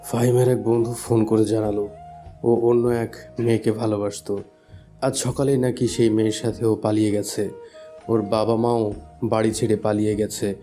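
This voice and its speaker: A man playing a shaken, dark-voiced father. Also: on the low side, flat delivery, moderate pace, faint music behind, close to an everyday voice. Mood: dismayed. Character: distraught father of the bride, middle-aged, shaken. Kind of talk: audio love story acting.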